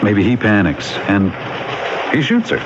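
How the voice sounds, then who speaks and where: A man's voice: on the low side, huge pitch swings, a little slow, highs cut off. Pragmatic male police captain, blunt lecturing delivery, vintage radio drama, dulled sound, noisy background. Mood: sceptical. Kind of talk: 1970s radio mystery drama acting.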